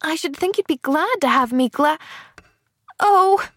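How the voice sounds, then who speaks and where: A woman's voice: high voice, animated, moderate pace, pitched above the performer's own voice. A woman voicing an eager, kind-hearted young girl in an audiobook, chattering in a breathless, earnest, gushing way. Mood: dismayed. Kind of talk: audiobook character voice.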